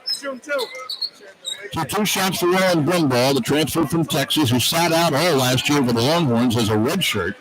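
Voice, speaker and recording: A man's voice: a little high, expressive, a little slow, over a noisy crowd. A man doing live radio play-by-play of a basketball game as the announcer. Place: basketball arena, courtside. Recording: very noisy arena broadcast. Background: loud arena noise.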